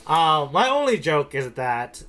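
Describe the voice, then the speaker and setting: Guy on a podcast, slightly higher voice, wry casual riffing, cohost banter. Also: big pitch swings, unhurried, sharp tone, faint music behind. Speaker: podcast co-host. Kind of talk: casual comedic chat.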